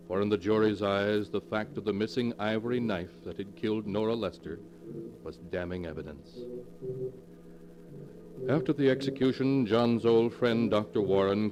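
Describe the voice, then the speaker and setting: Deep-voiced, solemn horror radio narrator, played by a man. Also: close to an everyday voice, poor audio. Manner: solemn, melodramatic storytelling. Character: grave, ominous narrator of a horror tale. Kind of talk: 1940s radio mystery narration. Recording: old 1940s radio recording with music. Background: loud dramatic music.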